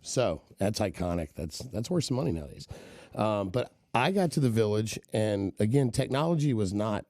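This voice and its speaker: A man's voice: lowish voice, big pitch swings, moderate pace, darkish tone, dry recording, clean studio sound. A middle-aged man telling long, rambling stories as a podcast guest, with many "right?" tags. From Kenya and the southern US. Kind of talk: casual storytelling interview.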